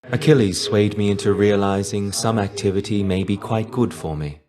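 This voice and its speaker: A man playing a weary, melancholy shade of a fallen ancient Greek warrior in a video game, measured, wistful and dryly understated. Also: fairly low pitch, close to an everyday voice. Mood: resigned, reflective. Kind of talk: video game character voice lines.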